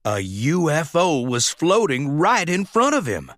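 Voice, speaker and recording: A man's voice: a little high, sing-song, measured pace, fairly bright tone, no room sound, studio quality. A man reading a children's storybook aloud as scripted narration. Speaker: storybook reader.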